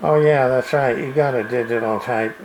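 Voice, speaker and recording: A man giving a casual, off-the-cuff demonstration as a radio repair hobbyist, halting and folksy in a plain-spoken way. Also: mid-range voice. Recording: clear background radio noise and hiss. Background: radio noise.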